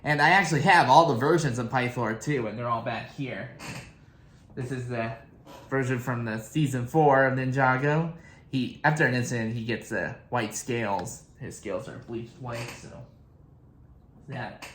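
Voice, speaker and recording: A young adult man giving casual, rambling fan commentary as a video host, with a halting delivery. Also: medium pitch, fairly bright tone. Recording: echoey room.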